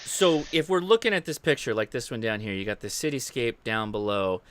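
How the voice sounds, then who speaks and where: A man's voice: mid-range voice, lively intonation, a little fast, fairly bright tone, smooth, no echo. A man in his thirties with an American accent, hosting a casual interview and asking questions. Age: 30s. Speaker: host. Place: indoor room, live stream.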